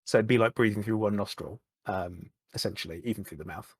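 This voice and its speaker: Low-voiced man talking in halting restarts. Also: slightly rough, dry recording, studio quality. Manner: halting, repeats words and restarts often. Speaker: podcast guest. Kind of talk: candid conversational chat.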